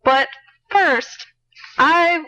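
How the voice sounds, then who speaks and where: Bright, higher-voiced woman in casual chat. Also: extremely slow, poor audio. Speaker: podcast co-host. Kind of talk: casual playful podcast chat.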